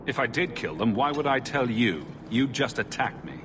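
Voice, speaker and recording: An actor playing a steady, dry-witted male adventurer hero in a game, firm and matter-of-fact. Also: medium pitch, normal speed, noisy background, natural voice. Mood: defiant.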